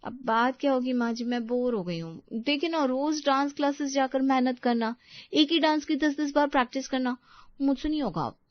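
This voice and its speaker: A woman's voice: high-pitched, moderate pace, no echo, highs cut off, pitched above the performer's own voice, natural voice. A woman voicing a breezy, easily bored young housewife in story dialogue. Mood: fed up.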